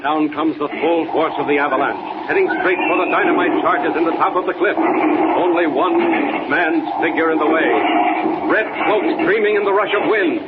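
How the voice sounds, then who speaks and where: A man's voice: on the high side, moderate pace, very noisy, close to an everyday voice. A man playing a grandiose 1940s radio serial announcer, emphatic and theatrical, on a tinny old recording. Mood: dramatic, grand.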